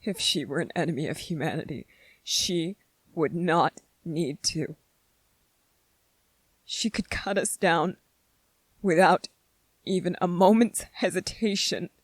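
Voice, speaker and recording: A woman plays an anxious young male soldier from an anime, pitched low for a woman and very slow. He halts and repeats himself as though worn down. The recording is dry and close, in the manner of an ASMR roleplay. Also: slightly rough, no echo. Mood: wary, doubting. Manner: halting, repeating words, dramatic pauses. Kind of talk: scripted ASMR roleplay monologue.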